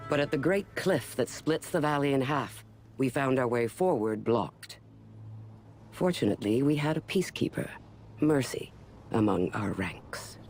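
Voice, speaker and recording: An actor playing a grave, disciplined knight officer in a video game, speaking and narrating in a solemn, formal way. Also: male voice, mid-range voice, darkish tone, close to an everyday voice. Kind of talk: scripted dramatic game dialogue and narration. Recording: music behind the voice.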